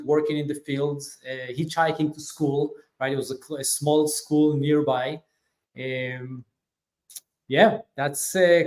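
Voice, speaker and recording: A man in his forties from Israel with an Israeli accent, telling his life story as an interview guest, relaxed with many fillers. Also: on the high side. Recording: echoey room.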